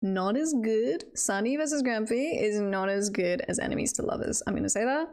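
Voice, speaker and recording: A woman's voice: medium pitch, lively intonation, normal speed, a little mellow. A woman chatting casually on a live stream, playful and giggly, rambling and trailing off between thoughts. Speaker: streamer and voice roleplayer chatting with viewers.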